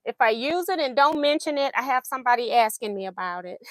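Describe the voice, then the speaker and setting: High voiced lady chatting thru a live quilting stream, laughs a lot, voice kinda fading in and out, dry room. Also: expressive, brisk, no echo, poor audio. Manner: chatty, laughs often, reads viewer comments aloud. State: voice going in and out. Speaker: quilting livestream host. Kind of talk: casual livestream chat while sewing.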